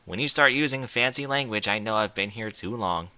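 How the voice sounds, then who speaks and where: Man doing a brash, bright-voiced girl pegasus. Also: on the low side, a little fast, no echo. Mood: casual. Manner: blunt, slangy, casual delivery. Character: brash, cocky young female pegasus pony.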